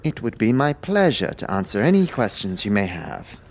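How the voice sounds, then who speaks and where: A man voicing a tiny, energetic man in his nineties for an audio drama, courtly and archaic, hemming and repeating himself. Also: fairly low pitch, normal speed, gravelly, exaggerated voice. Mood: polite, puzzled. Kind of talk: audio drama character acting.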